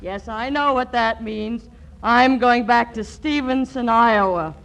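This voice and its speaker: An actress playing a sharp-witted, exasperated young working woman with dry comebacks in a 1940s radio comedy. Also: on the high side, vocal fry. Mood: dry, unimpressed. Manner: dry, wry, brisk comebacks. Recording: noisy vintage radio recording.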